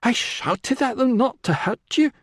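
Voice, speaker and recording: A man's voice: very high voice, animated, measured pace, fairly bright tone, dry recording, studio quality, voice pushed up, heavily acted. A man voicing a plucky, proud little boy who talks eagerly and matter-of-factly in an audiobook. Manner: eager, matter-of-fact, self-important.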